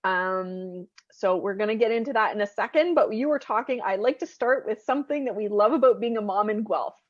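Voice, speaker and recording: A woman's voice: medium pitch, fairly quick, dull audio. A woman hosting a podcast interview in a casual, chatty, informal way. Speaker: podcast host.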